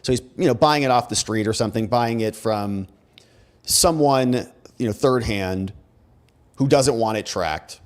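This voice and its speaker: A man's voice: mid-range voice, measured pace. An American man hosting legal commentary, reading documents aloud with emphatic, conversational asides and sarcastic rhetorical questions. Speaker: host, criminal defense lawyer.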